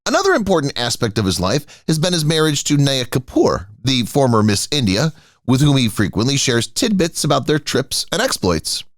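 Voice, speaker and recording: A man narrating a scripted entertainment rundown with a swooping, highly animated pitch. His voice sits at a middling pitch and sounds dry and close, with no room around it. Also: moderate pace, no room sound. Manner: announces each name as a raised heading.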